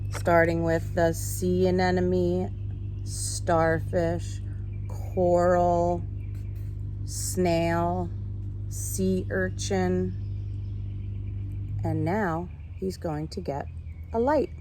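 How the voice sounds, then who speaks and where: A woman teacher reading a picture book aloud in an animated way, talking to children. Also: fairly low pitch, very slow, pauses often, a little hiss or hum, low-quality recording. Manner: animated, addressing children with questions.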